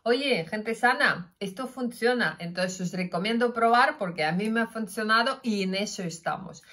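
A middle-aged woman with a Russian accent, talking casually to her phone camera about health, gesturing and pausing with fillers. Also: a little high. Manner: animated, gesturing, many fillers and restarts. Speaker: health vlogger. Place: indoors, plain room with curtain backdrop. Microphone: phone camera, front-facing.

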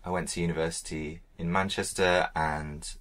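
A man's voice: deep voice, fairly even intonation, moderate pace, a bit bright. A man co-hosting a podcast, explaining ideas in a casual, explanatory way. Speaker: podcast co-host.

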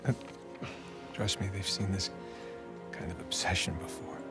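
An American man voicing a weary, sensible adventurer in a video game cutscene, with a dry, casual, halting delivery. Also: sits low, flat delivery. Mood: gently firm. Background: music.

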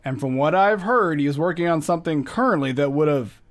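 A man's voice: fairly high pitch, expressive, a little fast, close to an everyday voice. A man playing a dry, patient, teasing police lieutenant in a radio drama recreation.

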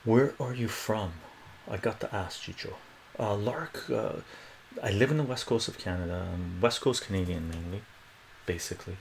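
A man's voice: fairly low pitch, moderate pace, creaky, a little hiss or hum. A middle-aged man born in Iran with Armenian as his first language, chatting casually through a math lesson at a whiteboard in a small home room and often asking "right?". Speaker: math tutor.

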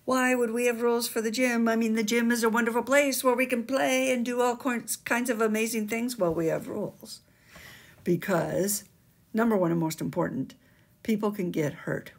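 Gravelly, husky older woman teacher. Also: medium pitch. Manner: emphatic, sing-song teacherly delivery. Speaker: school staff explaining gym rules. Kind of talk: instructional school video. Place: small school office, indoors. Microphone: webcam at desk.

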